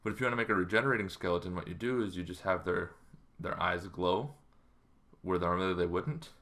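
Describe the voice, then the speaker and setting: Deep-voiced man, casual and bright. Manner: informal, rambling, halting. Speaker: host of a tabletop roleplaying channel. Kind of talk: casual explainer to camera with game advice. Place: small bedroom, indoors.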